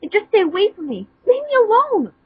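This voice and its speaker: A woman's voice: very high-pitched, very animated, a little fast, no room sound, narrow, tinny audio, voice pushed up. A woman voicing a hurt, easily angered teenage anime girl in an amateur fan fiction reading. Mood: upset, shouting.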